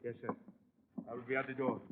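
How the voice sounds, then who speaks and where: A man playing a nervous, obedient henchman, halting and deferential, in 1950s radio drama. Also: mid-range voice, little pitch movement, lightly acted. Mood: anxious, deferential. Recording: muffled, noisy 1950 radio broadcast.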